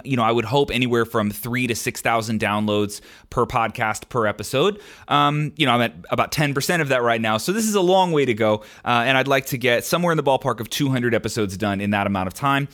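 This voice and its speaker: A man's voice: mid-range voice, a bit bright. A young adult man hosting his own podcast, answering listener questions in a candid, rambling way with frequent ums and restarts. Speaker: podcast host. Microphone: podcast microphone.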